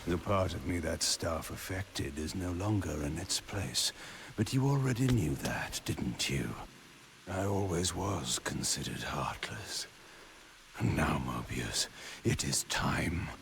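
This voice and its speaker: Menacing male vampire lord, very low slow voice, sardonic, theatrical game acting, noisy background. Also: animated, exaggerated voice. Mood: cold menace. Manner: measured, sardonic, grandiloquent. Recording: clear background noise under the voice.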